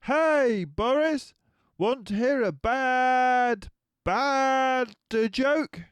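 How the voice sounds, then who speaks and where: High, teasing badger schoolkids. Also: male voice, extremely slow, clean tone, full character voice. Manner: sing-song taunting. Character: teasing, chanting young badger classmates.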